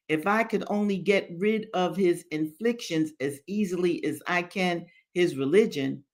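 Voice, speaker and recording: A woman's voice: fairly low pitch, voice pushed up. A woman voicing a defiant, embittered enslaved man in a dramatic novel reading, speaking emphatically and formally in rebuke.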